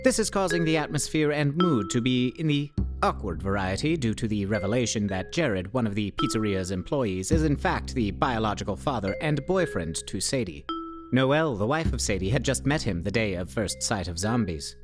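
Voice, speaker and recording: Male actor as pedantic survivalist geek, awkwardly formal, verbose, stilted radio play delivery, mid-pitched, faint music behind. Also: lively intonation, moderate pace, natural voice. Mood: solemn log-keeping. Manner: stilted, verbose, over-precise diction.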